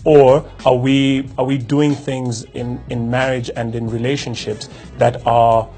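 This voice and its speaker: A man's voice: medium pitch, level tone, measured pace, warm tone, faint music behind. A man hosting a motivational talk, speaking reflectively with frequent restarts and rhetorical questions. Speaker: host. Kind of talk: casual motivational talk to camera.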